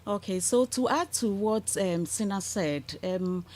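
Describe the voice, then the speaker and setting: A woman, a bank products manager, explaining loan terms briskly as a radio guest. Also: medium pitch, darkish tone. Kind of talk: radio interview.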